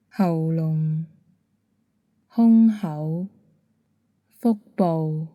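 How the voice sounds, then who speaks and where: A woman leads a bedtime meditation with a Hong Kong Cantonese accent. Her voice is slightly low and very mellow, recorded close and dry. She gives each instruction gently and calmly. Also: no echo. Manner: calm, soothing, gentle instruction. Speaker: meditation guide. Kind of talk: guided bedtime meditation narration.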